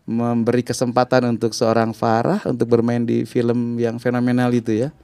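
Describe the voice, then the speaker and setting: A man's voice: completely flat delivery, moderate pace, mellow, smooth, dry recording. Playful man hosting an interview. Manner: playful, exclaims with sudden high jumps in pitch. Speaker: interviewer host. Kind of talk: chatty talk-show interview.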